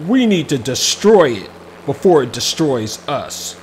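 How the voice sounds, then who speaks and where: A man's voice: mid-range voice, sing-song, measured pace, slight background noise, poor audio. A man voicing an alarmed, hostile police officer in a children's storybook, calling out urgently.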